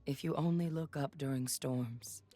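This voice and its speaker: An actress voicing a serious, battle-hardened gunslinging video game heroine who delivers measured one-liners with dry, deadpan humor. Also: female voice, rumbling low. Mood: solemn, resolute. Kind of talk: video game character voice lines. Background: background music.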